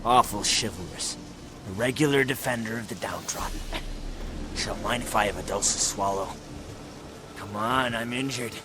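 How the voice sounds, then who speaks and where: An actor playing an injured, unrepentant rogue monster hunter in a video game, dry and mocking. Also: male voice, on the low side, normal speed, pauses often, over music, natural voice. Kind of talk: video game character acting.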